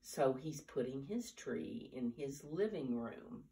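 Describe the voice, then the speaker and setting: Older american grandma type woman reading to little kids, really slow n simple, mic kinda far off in the living room. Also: very deep voice, expressive, gravelly, dark tone, a little hiss or hum. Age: 70s or older. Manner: simple, deliberate teacher-like phrasing with questions to children. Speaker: storyteller reading to preschoolers. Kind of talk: storytime talk and picture-book reading for young children. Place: living room at home, indoors. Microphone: camera a few steps away.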